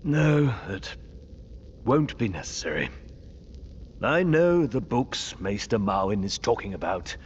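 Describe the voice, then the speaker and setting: A man's voice: on the low side, animated, a little slow, pauses often. An actor playing a prickly, pedantic maester in a video game, haughty and defensive in his speech. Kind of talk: video game cutscene acting. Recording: dull audio with faint ambient noise.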